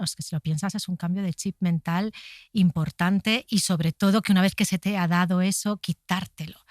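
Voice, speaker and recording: Woman, lowish voice, dry sound. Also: dry recording. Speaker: writer, interview guest. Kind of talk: conversational podcast interview.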